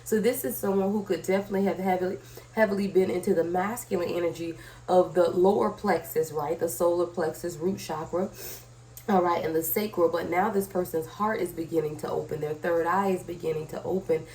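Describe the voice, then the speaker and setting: A woman's voice: on the low side, steady tone, fairly quick, a little mellow. A woman hosting a conversational tarot reading, her voice slightly scratchy from a cold, addressing viewers directly and checking in with short tag questions. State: sick with a cold. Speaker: tarot reader, channel host.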